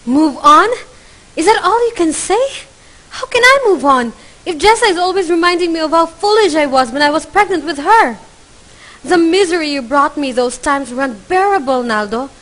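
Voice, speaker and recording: A woman's voice: high-pitched, animated, moderate pace. A woman playing a furious accusing wife. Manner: accusing, shouting in an argument. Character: bitter, furious middle-aged wife and mother. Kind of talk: amateur student radio drama acting.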